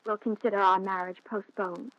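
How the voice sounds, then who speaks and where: An actress playing a tender, devoted young fiancée in a 1940s radio drama, earnest and affectionate. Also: female voice, mid-range voice, flat delivery, moderate pace, natural voice. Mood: concerned. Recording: muffled 1940s radio recording.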